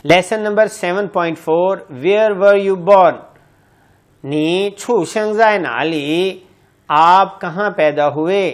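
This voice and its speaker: A man teaching a language course, slowly reading each phrase in English, then Chinese, then Urdu. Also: high-pitched. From Pakistan. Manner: very slow and deliberate reading.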